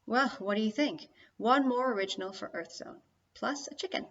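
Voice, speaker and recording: A wry talking spaceship voiced by a woman reader speaks in a measured, unhurried way. It has a dry storybook manner. The recording is slightly dull. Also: on the high side, fairly bright tone, a little hiss or hum. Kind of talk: audiobook character dialogue.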